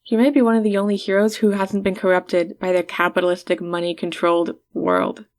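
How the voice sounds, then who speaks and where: A woman's voice: on the low side, brisk, lightly acted. A woman playing a haughty supervillain in an ASMR roleplay, condescending and teasing toward the listener but secretly caring. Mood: world-weary, earnest.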